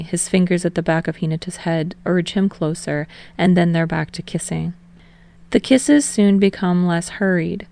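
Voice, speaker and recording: A woman's voice: lowish voice, vocal fry, darkish tone, dry recording. A woman reading fiction aloud as scripted narration for a podfic.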